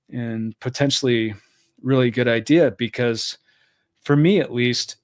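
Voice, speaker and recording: A middle-aged man hosting a live stream, reading viewer comments aloud and answering them in a friendly, thinking-aloud way. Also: mid-range voice. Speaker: podcast host reading live chat.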